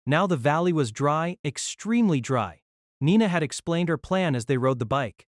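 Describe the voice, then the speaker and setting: Low-voiced woman narrating a story. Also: normal speed, no room sound, clean studio sound. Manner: steady storytelling, also voices the male lead. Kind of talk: scripted novel narration.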